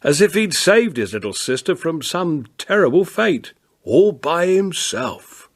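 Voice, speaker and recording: An older man playing a firm but kindly beat policeman in a radio drama, plain-spoken and gently authoritative. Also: fairly high pitch, sing-song, a little slow, heavily acted. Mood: wistful storytelling. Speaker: police constable and narrator. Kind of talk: naturalistic radio drama acting and storytelling.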